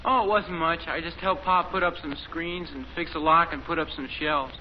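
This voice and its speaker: A man's voice: on the high side, fairly even intonation, moderate pace, lightly acted. A young man playing a wry, obliging teenage son in 1950s American radio sitcom style, casual and boyish. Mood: puzzled, obliging. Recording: muffled 1950s radio recording, faint noise.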